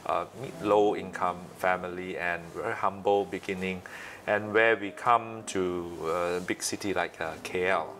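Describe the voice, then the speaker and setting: A man in his late thirties with a Malaysian accent, speaking haltingly and pausing often in a conversational interview as the guest. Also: deep voice, steady tone, fairly bright tone, slight background noise. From Malaysia, east coast. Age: late 30s.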